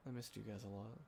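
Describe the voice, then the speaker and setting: A young man with a fairly low voice acted by a male performer rambles casually to a close friend he has missed. He is sentimental and soft-hearted, pausing and circling as he talks in an intimate audio roleplay. City ambience is audible behind him. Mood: wistful, sincere. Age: young adult. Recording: clear background ambience noise. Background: quiet city ambience.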